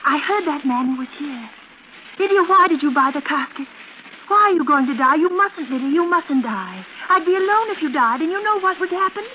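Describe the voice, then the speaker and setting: Very high-voiced, fragile, childlike madwoman. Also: expressive, fairly quick. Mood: anxious pleading. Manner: plaintive, girlish, anxious. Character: fragile, childlike, pleading mad twin sister. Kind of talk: old-time radio suspense acting. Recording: noisy, tinny vintage radio broadcast.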